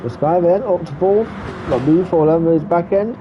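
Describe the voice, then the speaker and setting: A man's voice: on the high side, normal speed. Man commentating, stuffy with a cold. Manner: relaxed running commentary with fillers. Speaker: race commentator. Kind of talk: sim racing commentary. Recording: muffled lo-fi recording with background noise.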